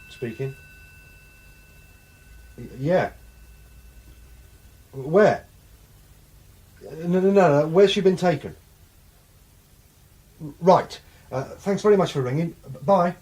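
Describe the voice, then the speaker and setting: Casting: fretful British man, radio thriller, husky voice with animated swings of pitch. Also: slow pace, pauses often, full character voice. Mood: worried, defensive. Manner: agitated, hesitant, sighing. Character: anxious, distrustful man fretting over a missing woman friend. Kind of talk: naturalistic British radio drama acting.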